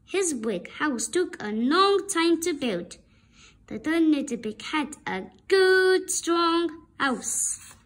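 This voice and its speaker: A woman reading a children's story aloud with deliberate, expressive narration. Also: sits high. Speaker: storybook reader.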